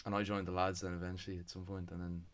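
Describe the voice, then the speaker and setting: Laid-back man, lowish voice. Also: flat delivery, very fast, slight background noise. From Dublin. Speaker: guitarist, interviewee. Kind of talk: casual interview chat.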